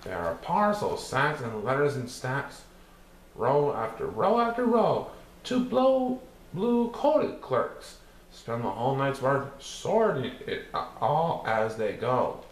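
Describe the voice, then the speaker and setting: A man's voice: mid-range voice, very animated, takes it slowly. A man reading a children's picture book aloud in verse, with a theatrical, rhythmic, sing-song delivery. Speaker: storybook reader. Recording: roomy echo with some background noise.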